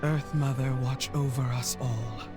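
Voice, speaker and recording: An actor playing a loyal tauren chieftain in a fantasy game, speaking with earnest solemnity. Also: male voice, medium pitch, fairly even intonation, measured pace, close to an everyday voice. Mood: solemn, resolute. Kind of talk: fantasy game dialogue acting. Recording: game music behind the voice.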